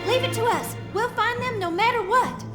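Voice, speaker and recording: A woman's voice: very high-pitched, moderate pace, music in the background. A woman voicing a perky, feisty, informal young girl in a video game cutscene. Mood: feisty, determined.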